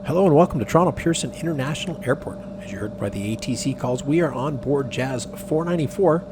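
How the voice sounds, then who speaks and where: A man's voice: mid-range voice, fast. A man narrating a travel vlog in a casual, scripted voice-over style. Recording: clear engine and cabin noise behind. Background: aircraft and vehicle hum.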